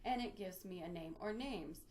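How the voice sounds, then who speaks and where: A woman's voice: lowish voice, moderate pace, a little mellow. Woman giving a step-by-step tutorial. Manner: methodical, step-by-step explaining. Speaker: presenter of a library database tutorial. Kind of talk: instructional screen walkthrough, lightly improvised.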